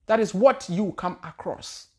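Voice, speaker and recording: A woman speaks as a spiritual teacher answering her audience in a casual, unscripted talk. Her voice sits at an ordinary middle pitch for a woman. She circles back on her words, repeating them and pausing as she searches for what to say next. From Ghana. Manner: loose, searching delivery with frequent repeated words and hesitations. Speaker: spiritual teacher and channel host. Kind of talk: casual spoken talk answering viewer questions.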